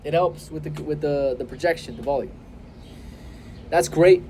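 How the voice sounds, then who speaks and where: A young man in his twenties speaks straight to a phone held close, coaching with confident emphasis and deliberate pauses. His voice sits a touch high yet stays warm and mellow. Faint outdoor noise hums underneath. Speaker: self-improvement coach. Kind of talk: direct-to-camera advice vlog.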